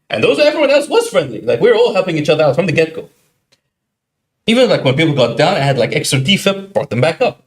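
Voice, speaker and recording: A man co-hosting a podcast, telling a video game story in a casual, animated way and acting out what other players said. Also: a little high. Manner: animated, quick, acts out quoted players. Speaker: podcast co-host.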